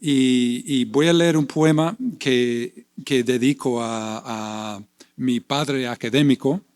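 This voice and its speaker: A slow-speaking man reading aloud and reflecting. A Spanish speaker with a relaxed, unhurried delivery, often repeating words as he searches for them. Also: medium pitch, smooth. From United States. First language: English. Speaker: panelist and poet. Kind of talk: panel discussion and poetry reading.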